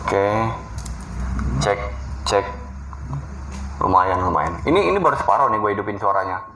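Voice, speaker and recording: A man's voice: on the low side, sing-song, normal speed, bright, forceful tone, slight background noise, low-quality recording. A man, giving an informal, slangy, unscripted hands-on product review. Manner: informal, slangy, talking through a hands-on demonstration.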